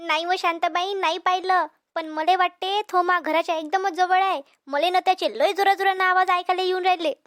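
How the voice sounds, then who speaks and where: Cartoon casting: woman to play anxious, plaintive village housewife, Vidarbha Marathi accent, extremely high voice. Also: steady tone, fairly quick, a bit bright. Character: frightened, pleading village housewife. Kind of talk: amateur cartoon comedy voice acting.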